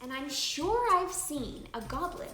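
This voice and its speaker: A woman reading a children's story aloud as host, with a dramatic, expressive storytelling delivery. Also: high-pitched, a little slow. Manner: dramatic, animated delivery with emphatic stresses and hushed moments. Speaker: children's book reader and channel host. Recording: roomy echo with clear music behind. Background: music.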